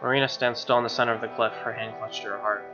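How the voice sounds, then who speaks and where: Muffled man reading over loud music. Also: lowish voice, flat delivery, quick pace. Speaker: let's player reading a visual novel aloud. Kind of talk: narrative read-aloud with casual reactions. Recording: dull lo-fi mic under loud music. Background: loud game music.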